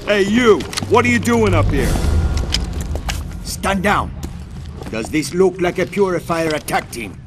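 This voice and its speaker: An actor playing a brusque, challenging armed guard in a video game. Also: male voice, high-pitched, animated, unhurried, pauses often. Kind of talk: video game character acting. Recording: music behind the voice.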